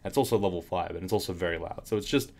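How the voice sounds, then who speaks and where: A man with a slightly raspy voice giving casual commentary on a game tutorial, rambling with fillers and restarts, keyboard clicks behind him. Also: sits low, very fast, a little mellow.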